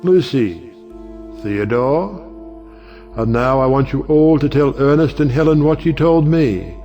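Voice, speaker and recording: A man playing a genial, scheming older judge in an audio play, speaking in smooth, orotund speeches. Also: medium pitch, animated, a little slow, dark tone, highs cut off, soft background music. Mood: smooth, conciliatory.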